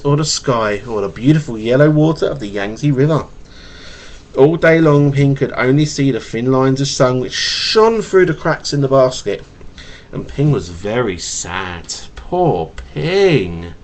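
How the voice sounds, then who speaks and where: A man reading a children's picture book aloud to his child, stumbling and repeating words with a stutter. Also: mid-range voice. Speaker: father reading a bedtime story to his child. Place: at home, webcam recording. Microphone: webcam microphone.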